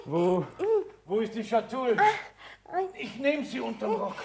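A man acting in a radio drama as a naive, simple-hearted young soldier, guileless and excitable. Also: high voice, unhurried, creaky, heavy background noise, full character voice. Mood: puzzled, gentle.

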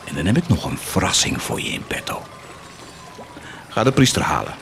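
An actor playing a cruel, blasphemous warlord in a radio drama, mocking and grandiose, declaiming sardonically with dramatic pauses. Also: male voice, sits low, sing-song, vocal fry, heavily acted. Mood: sardonic, cruel. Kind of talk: theatrical radio drama acting.

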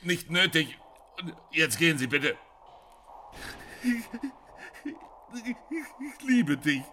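Upset lovesick guy, really tense sharp voice, stammery, bit of background noise, radio play. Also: on the high side, very animated, drawn-out words, full character voice. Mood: distraught, irritated. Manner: stammering, strained. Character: distraught lovesick man, snapping at intruders. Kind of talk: German radio drama acting.

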